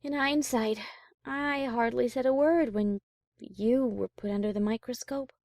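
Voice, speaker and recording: A woman playing a prim, high-class pony musician in an audio drama, speaking in a refined, formal, dramatic manner. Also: a little slow, darkish tone, no room sound, studio quality. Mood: bitter, resigned. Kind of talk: audio drama character acting.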